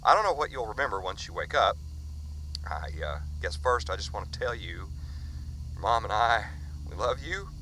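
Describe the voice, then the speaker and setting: An actor playing a middle-aged father who records an urgent warning tape for his son, speaking in a halting, earnest way. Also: on the low side, big pitch swings, bright, forceful tone, a little hiss or hum, heavily acted, poor audio. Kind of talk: audio drama monologue.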